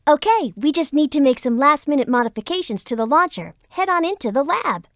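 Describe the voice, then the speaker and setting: A woman's voice: sits high, lively intonation, fairly quick, a bit bright, no echo, clean studio sound. A woman voicing a decisive, wisecracking young adventurer who leads a team in a children's computer game. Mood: confident, wry. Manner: decisive, slangy.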